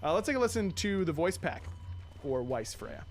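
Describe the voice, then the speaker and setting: A man's voice: on the high side, very animated, moderate pace, a little hiss or hum. A man hosting a casual video game showcase, commenting in an easygoing, approving way. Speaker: show host.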